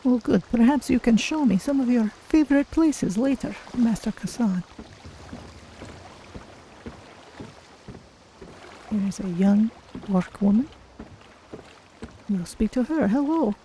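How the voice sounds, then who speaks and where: A streamer as a mellow, creaky cat-folk adventurer. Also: medium pitch, animated, slight background noise, close to an everyday voice. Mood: gentle, courteous. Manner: unhurried, musing, courteous. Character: gentle, polite cat-folk adventurer, thoughtful newcomer. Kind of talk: in-character video game stream narration.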